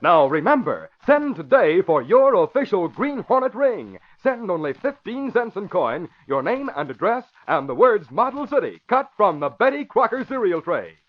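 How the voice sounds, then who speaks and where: A man's voice: sits high, sing-song, normal speed, hardly any pauses. A man announcing in 1940s radio style, with a polished, emphatic salesmanlike delivery. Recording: dull vintage radio broadcast recording.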